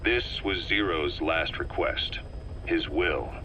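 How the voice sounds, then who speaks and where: Deep-voiced, grave spy informant. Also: male voice, takes it slowly, pushed and bright, full character voice. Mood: confiding, sober. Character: shrewd, grave male spy reporting to his boss. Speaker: intelligence informant. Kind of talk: dramatic game voice acting, recorded briefing. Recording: noisy audio cassette tape playback.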